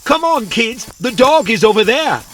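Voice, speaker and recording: An actor playing a talkative, literal-minded and excitable young robot in an audio drama for children. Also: male voice, extremely high pitch, normal speed, a little hiss or hum, heavily acted. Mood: excited, alarmed.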